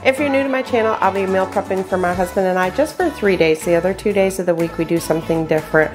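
A middle-aged woman with a lilt talks viewers through her meal prep, naming each ingredient as she adds it. Her voice sits at an ordinary middle pitch, and soft music plays faintly underneath. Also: fast, poor audio. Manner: chatty, explains step by step. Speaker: cooking channel host. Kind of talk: casual meal prep tutorial. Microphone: voice-over while hands cook on camera.

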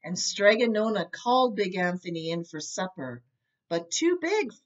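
Female storybook narrator, middle-aged, measured and animated in pitch, a little lo-fi. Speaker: storybook reader. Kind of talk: read-aloud children's story narration. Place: indoor room with bookshelves.

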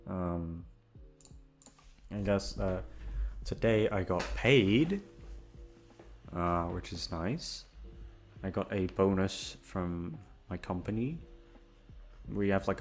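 Slow, rambling young British man. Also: low voice. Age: young adult. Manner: hesitant, meandering, self-deprecating, repeats words. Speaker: voice actor talking to listeners. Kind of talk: casual rambling chat.

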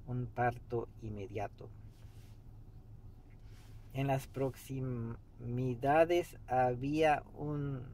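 A man in his fifties reading a book aloud meditatively in a halting way, pausing mid-sentence, recorded inside a car. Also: mid-range voice, poor audio. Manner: halting, pauses mid-sentence, clears throat. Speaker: amateur reader of a book. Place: inside a parked car by a street. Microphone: camera an arm's length or so away. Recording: steady background noise inside a car.

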